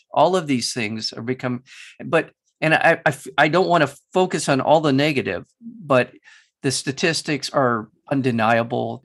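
A man hosts an interview, speaking passionately and haltingly, often restarting his thoughts. His voice has a gravelly, creaky texture at a mid pitch. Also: a bit bright. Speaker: interview host. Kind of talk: interview conversation.

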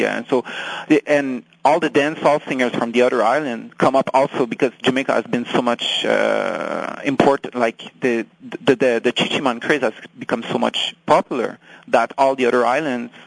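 A man with a French accent speaks in a deeply gravelly, fry-heavy voice. He is a native French speaker answering interview questions, pausing and restarting as he searches for English words. The recording is dry and close. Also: no room sound, low-quality recording. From France. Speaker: guest.

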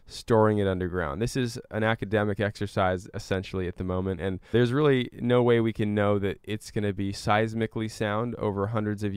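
A man speaking as an interview guest, explaining step by step in a measured, conversational way with careful qualifications. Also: on the low side, clean tone, no room sound, studio quality. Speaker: interview guest, climate advocate.

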